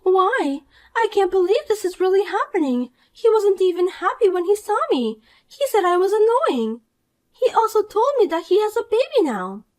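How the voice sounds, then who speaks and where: A woman's voice: very high-pitched, big pitch swings, normal speed, a little mellow, voice pushed up. A woman voicing a shallow, selfish, jealous young woman in a dramatized story, accusing and self-pitying. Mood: whiny.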